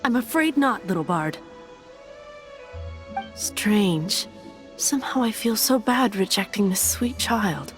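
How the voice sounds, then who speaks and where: A woman's voice: mid-range voice, animated, slow pace, long pauses. A woman playing a courteous but firm young nun in a fantasy video game, formal and pious in manner. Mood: apologetic, dismissive. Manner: formal, pious, curtly polite. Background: background music.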